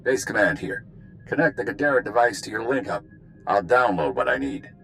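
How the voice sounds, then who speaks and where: A man voicing a gruff, brisk military intelligence handler in a video game, giving clipped orders. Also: fairly low pitch, very animated, fairly bright tone, faint music behind. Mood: brisk, weary. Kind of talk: video game cutscene voice acting.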